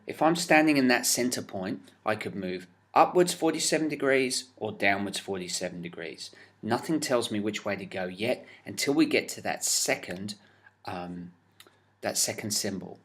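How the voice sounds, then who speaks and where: A man's voice: lowish voice, fairly bright tone. A man teaching a science lesson in an explanatory video, patiently walking through each step with frequent fillers.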